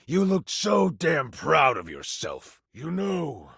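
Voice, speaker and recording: A slow, grandiose tiger-man warrior growls with menace in a fantasy game. The voice is heavily gravelled and husky, swinging dramatically in pitch as it nurses a bitter grudge. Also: huge pitch swings, a bit bright, no room sound, studio quality, heavily acted. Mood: brooding, bitter. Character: vengeful, theatrical male tiger-man warrior villain. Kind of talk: fantasy game villain monologue.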